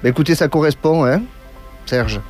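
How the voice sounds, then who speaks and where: A man's voice: on the high side, normal speed, darkish tone, soft background music. A man hosting a radio call-in consultation, reassuring callers while hesitating with many fillers and restarts. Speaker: radio clairvoyant host taking calls.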